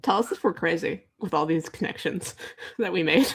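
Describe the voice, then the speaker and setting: A woman speaks in a fairly low register. She is co-hosting a relaxed, informal discussion of books, giving her opinions in everyday conversational speech. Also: moderate pace, creaky, breathy edge. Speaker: book readalong co-host. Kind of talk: casual book discussion chat. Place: online livestream.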